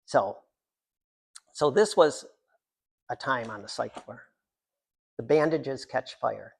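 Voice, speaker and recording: A man with a noticeably gravelly, creaky voice at an ordinary middle pitch gives a live literary reading of his own writing. He slips into rambling, self-deprecating asides with a joking manner. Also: slow pace. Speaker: writer reading from own work.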